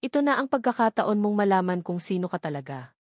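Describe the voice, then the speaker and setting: Lady reading as soft-spoken earnest woman with secrets, audiobook drama, mid pitch. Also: sing-song, quick pace, no echo, studio quality. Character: gentle, secretive woman, an old family friend and go-between.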